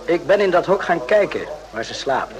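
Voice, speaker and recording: A somewhat high-voiced army subordinate in a radio drama. He is gentle and remorseful, reflecting carefully in short, repeated phrases. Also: big pitch swings, normal speed, a crowd in the background, low-quality recording. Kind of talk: radio play acting.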